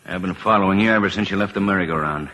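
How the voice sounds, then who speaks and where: A man's voice: low voice, very fast, bright, forceful tone. An actor playing a wry, folksy, fatherly government lawman in an old-time radio drama. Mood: calm, firm. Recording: old radio broadcast recording.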